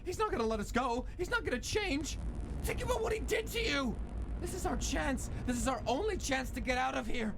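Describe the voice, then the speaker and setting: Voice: high voice, expressive, a little fast, vocal fry, background noise. An actor voicing a desperate, fiercely protective young skeleton brother in a dramatic comic dub. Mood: frantic, pleading. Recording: loud music underneath.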